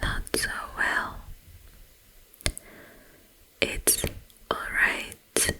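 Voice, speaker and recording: A woman voicing a soothing yet menacing sleep paralysis demon in an ASMR roleplay, in a breathy whisper with halting, drawn-out phrases. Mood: soothing, eerie.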